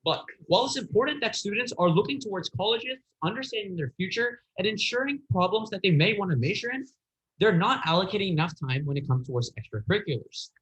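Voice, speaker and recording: High-voiced teen boy giving speech. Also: expressive, fast, fairly bright tone, rough recording. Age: teens. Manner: earnest, a little hesitant, occasional fillers and restarts. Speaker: speech contest competitor. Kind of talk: persuasive contest speech.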